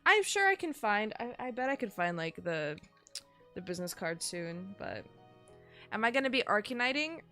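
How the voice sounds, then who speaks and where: A woman's voice: mid-range voice, very animated, normal speed, bright, forceful tone, soft background music. A woman streamer chatting casually with her audience while sick, nasal and sniffly, rambling and swearing. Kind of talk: casual live-stream chat.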